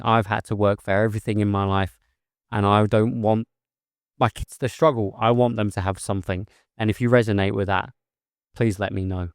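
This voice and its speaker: A man with a monotone, settling voice, talking casually and earnestly to his viewers, rambling a little as he goes. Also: fairly low pitch, dry recording, studio quality. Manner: rambling, earnest, with filler phrases and restarts. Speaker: vlogger, heavy goods mobile mechanic. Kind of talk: casual talk to camera.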